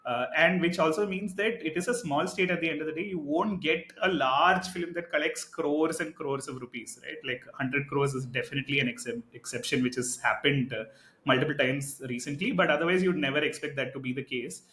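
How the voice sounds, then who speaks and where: A man co-hosting a podcast, talking in a casual, animated, argumentative way and often tagging his points with "right?". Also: on the high side, a little fast. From India. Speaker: podcast co-host. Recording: echoey room.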